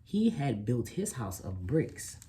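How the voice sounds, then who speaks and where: Storybook wolf, played by a reader: self-excusing, mock-innocent narrator with a mellow, soft-edged voice and very animated delivery. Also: medium pitch, slow, slight background noise. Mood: self-justifying. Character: sly, self-excusing wolf telling his own story. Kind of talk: children's picture book read aloud.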